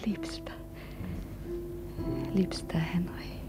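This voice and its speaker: Slow, tender wife over piano. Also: female voice, whispered. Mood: tender, wistful. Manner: hesitant, hushed, wistful. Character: tender, frail, loving wife. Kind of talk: 1950s German radio play acting. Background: piano music.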